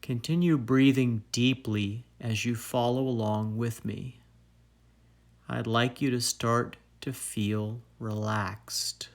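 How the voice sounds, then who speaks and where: A man leads a guided meditation at an extremely slow pace. His pitch sits in an average male range. He speaks calmly and soothingly, placing each phrase deliberately and leaving long pauses between them. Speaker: meditation guide and fitness trainer.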